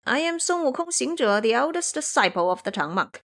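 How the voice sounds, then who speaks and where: A woman's voice: sits high, very animated, fairly quick, fairly bright tone, voice pushed up, heavily acted. A woman voicing a bossy, brash male monkey hero in an audio drama, with a commanding manner. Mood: cocky.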